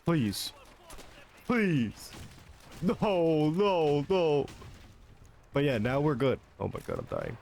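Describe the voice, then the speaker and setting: A man's voice: a little high, wildly swooping pitch, slow, creaky, long pauses, darkish tone, a little hiss or hum. A young man giving casual, self-mocking commentary while streaming a shooter game, swearing and laughing between shots.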